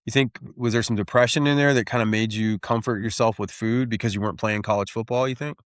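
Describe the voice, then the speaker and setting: A man from Tennessee hosts the conversation in a low, unhurried voice, asking curious follow-up questions. Dry, close-miked sound. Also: fairly even intonation, dry recording. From Tennessee, USA. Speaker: podcast host. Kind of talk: conversational interviewing.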